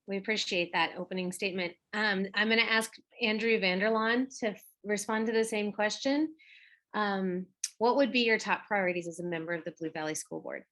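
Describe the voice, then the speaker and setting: A woman's voice: mid-range voice, a little fast, fairly bright tone. A woman moderating a candidate forum, politely introducing each speaker over a video call. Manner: polite, procedural.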